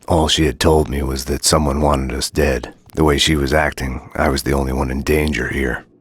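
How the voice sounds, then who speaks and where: Very deep, brooding noir detective. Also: male voice, moderate pace, breathy edge. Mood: wary, sardonic. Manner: terse, deadpan, sardonic. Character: brooding, world-weary hard-boiled male police detective. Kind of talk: noir scripted narration and game dialogue. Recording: music behind the voice.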